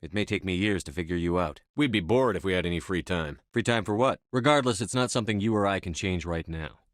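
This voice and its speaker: A man acting a stern, steady space-navy commander in a video game, with a measured, dry, authoritative delivery. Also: sits low, no echo, lightly acted. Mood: grave, determined.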